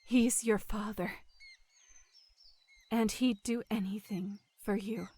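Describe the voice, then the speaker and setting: An actress playing a dying middle-aged mother in a game drama, speaking gently and consolingly with deep tenderness. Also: fairly even intonation, slightly rough. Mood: tender, sorrowful. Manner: gentle, slow, soothing. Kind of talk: emotional dramatic game voice acting.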